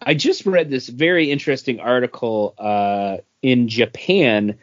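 A man's voice: medium pitch, a little slow, dry recording. A man hosting a podcast in a casual, conversational style. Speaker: podcast host. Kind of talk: casual chat. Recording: dull lo-fi, dry close sound.

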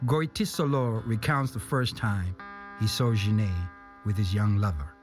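A man's voice: lowish voice, unhurried. A man reads scripted documentary narration in the role of narrator. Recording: music behind the voice. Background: music.

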